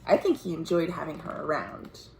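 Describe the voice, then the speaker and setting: A woman's voice: sits low, big pitch swings, moderate pace, vocal fry, a little mellow, slight background noise, poor audio. An American woman reading a picture book to young children, explaining it simply and expressively as a librarian at storytime. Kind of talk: children's picture-book read-aloud with commentary. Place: recorded at home.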